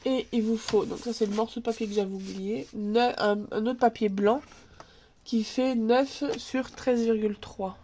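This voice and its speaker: Brief: woman host, cold-stuffed nose, slightly high voice, chatty craft demo, faint hiss behind. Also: steady tone, normal speed, dark tone. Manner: chatty, thinks aloud while working, filler words. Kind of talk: casual scrapbooking tutorial. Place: home craft table. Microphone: camera filming from above.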